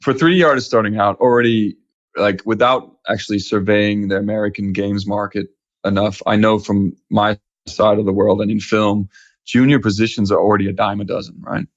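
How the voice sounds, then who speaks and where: A man's voice: fairly low pitch, fairly even intonation, brisk, dry recording. A man from Denmark, a guest in a casual interview, talking in a rambling way with many fillers and restarts. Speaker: guest, animation creative lead. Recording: dull lo-fi audio.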